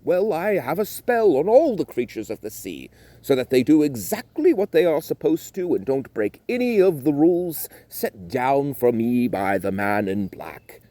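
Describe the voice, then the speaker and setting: Man doing a storybook sea king, older, stern, dismissive, lively pitch. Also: normal speed, dry recording, lightly acted.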